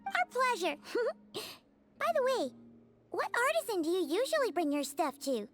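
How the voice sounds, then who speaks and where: Squeaky, chirpy little companion girl. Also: big pitch swings, moderate pace. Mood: upbeat, helpful. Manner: refers to self in third person. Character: small chirpy excitable fairy-like girl companion. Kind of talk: animated video game voice acting. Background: music.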